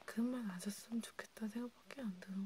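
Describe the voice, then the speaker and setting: A woman whispering breathily in an intimate, halting ASMR role play, talking informally as if to one listener. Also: fairly high pitch. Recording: steady background noise with clicks.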